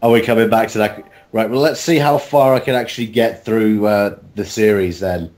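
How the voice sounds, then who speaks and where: Casting: man with a lowish voice, casual interviewee, hesitant and self-deprecating. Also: fairly even intonation, normal speed, a little mellow. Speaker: cast member in a group interview. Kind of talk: casual chat.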